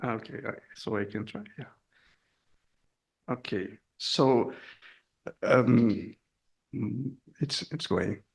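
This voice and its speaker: A man's voice: medium pitch, slow, vocal fry, pauses often, husky. A middle-aged man from Brazil giving a seminar talk in a hall, hesitant and full of fillers, laughing at himself. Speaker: guest speaker. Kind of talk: academic presentation.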